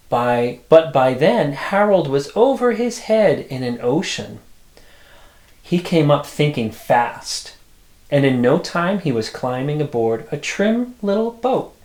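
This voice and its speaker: A middle-aged man reads a picture book aloud at an unhurried pace, with a very lively, swooping delivery. He drops in small asides full of childlike wonder. The sound is roomy, with noticeable echo. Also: mid-range voice. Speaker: storyteller, host.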